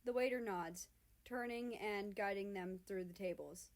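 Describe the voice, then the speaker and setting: A woman with a mid-pitched voice narrates a story. She reads from a written text as a fiction reader. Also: measured pace.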